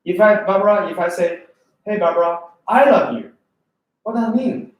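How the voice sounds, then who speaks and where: A man's voice: high-pitched, moderate pace. Chinese-accented male tutor, echoey classroom. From China. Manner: lively, asks students questions often. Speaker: English test tutor. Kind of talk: classroom lecture. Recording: echoey room from far off.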